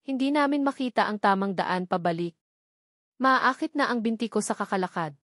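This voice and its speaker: A woman's voice: mid-range voice, normal speed, a bit bright, no echo, clean studio sound. A woman with a Filipino accent reading a first-person story as a scripted audiobook narration.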